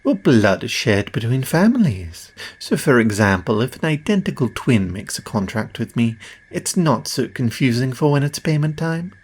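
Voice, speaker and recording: A man's voice: mid-range voice, sing-song, moderate pace, breathy edge. A man playing a cocky, condescending, powerful demon who makes deals, performed as a theatrical audio roleplay spoken straight to the listener. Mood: amused, smug.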